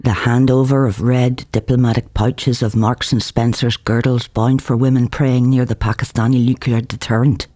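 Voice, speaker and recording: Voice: medium pitch, little pitch movement, moderate pace, few pauses, mellow. A narrator reads a literary novel aloud in a colloquial, theatrical first-person style. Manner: theatrical, shifts voice for dialogue. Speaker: author reading own novel aloud.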